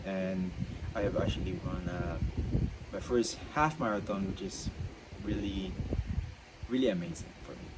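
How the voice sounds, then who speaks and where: A young man, a student, talking unscripted about self-control, with a stutter that makes him halt and repeat himself. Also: low voice. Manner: stutters, halting and repetitive. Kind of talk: unscripted reflective monologue. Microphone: own mic. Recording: loud background noise.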